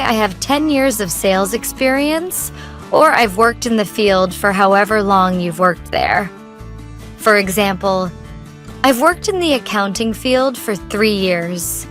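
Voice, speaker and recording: A woman co-hosting a scripted English lesson, giving clear, instructive tips. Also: mid-range voice. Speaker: co-host. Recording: soft guitar music behind.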